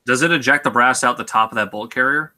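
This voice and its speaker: A man's voice: mid-range voice, fast talker, bright, forceful tone. A man on a video call, joking and teasing during casual chat. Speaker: panelist.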